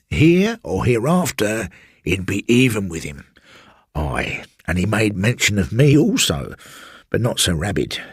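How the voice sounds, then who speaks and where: A man's voice: fairly low pitch, breathy edge, no echo, clean studio sound, exaggerated voice. A man voicing a garrulous old railway porter who rambles confidingly and keeps addressing his listener as sir. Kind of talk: audiobook storytelling.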